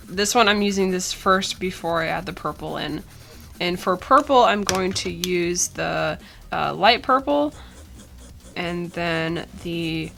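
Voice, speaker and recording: A woman's voice: lowish voice, vocal fry. Young woman with a tired, cold-worn voice. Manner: relaxed, chatty, with many ums and uhs. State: voice weak after a cold. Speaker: art tutorial host. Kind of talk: casual drawing tutorial voice-over. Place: indoors at a drawing desk.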